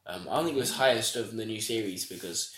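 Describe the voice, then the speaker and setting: A man's voice: on the low side, quick pace, fairly bright tone. A man with a nasal voice, co-hosting a casual podcast chat, rambling and cutting himself off mid-sentence. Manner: rambling, self-interrupting, laughs often. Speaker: podcast co-host. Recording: echoey room sound.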